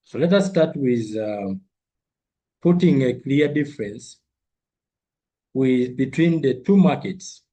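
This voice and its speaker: Husky man with a cold, coaching. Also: medium pitch, lively intonation, takes it slowly, long pauses, mellow. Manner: repeats figures and phrases for emphasis. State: has a cold. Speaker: trading coach. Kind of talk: live online trading lesson.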